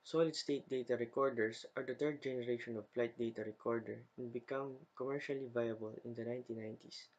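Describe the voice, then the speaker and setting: A man's voice: mid-range voice, fairly even intonation, fast talker, hardly any pauses, muffled recording. A young man reads a scripted slide narration aloud, carefully and phrase by phrase, as a student presenter.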